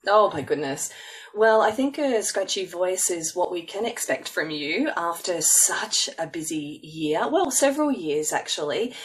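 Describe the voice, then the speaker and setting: A woman's voice: fairly low pitch, expressive, a little slow, far from the mic. A woman hosting a podcast, introducing her guest in a casual, rambling way with many fillers and restarts. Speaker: podcast host.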